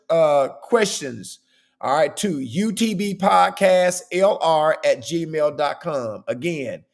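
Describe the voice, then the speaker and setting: A man hosting a podcast in a casual, chatty way. His voice is hoarse and worn, and he keeps checking in with "okay?". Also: high voice. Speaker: podcast host.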